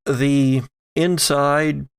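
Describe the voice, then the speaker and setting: Male game master for horror tabletop play; unhurried, halting storytelling in a dry-sounding space. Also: a little high, level tone, creaky, smooth, no room sound.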